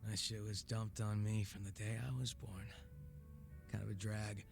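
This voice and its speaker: A man's voice: on the low side, level tone, normal speed, vocal fry. A man playing a laid-back, sardonic former gang boss in a video game cutscene, talking casually with teasing and profanity. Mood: jaded, candid. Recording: music under the dialogue.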